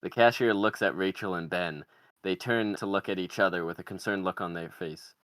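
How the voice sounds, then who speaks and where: Male table-read narrator, low voice, dry close sound, delivering stage directions. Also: normal speed, a bit bright, no room sound. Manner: reads out screenplay directions. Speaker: podcaster reading stage directions.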